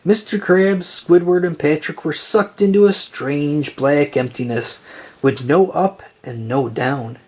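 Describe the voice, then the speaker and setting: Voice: medium pitch, a little slow, a little mellow, slightly rough. A storyteller reading a children's picture book aloud in narration style. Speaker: storybook reader.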